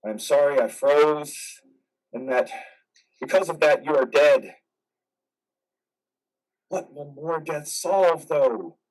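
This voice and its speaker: A man's voice: on the high side, takes it slowly, long pauses, darkish tone, recorded from a distance, rough recording. A man playing an anguished, vengeful war veteran in a dramatic play reading. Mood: grieving, tender. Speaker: amateur actor.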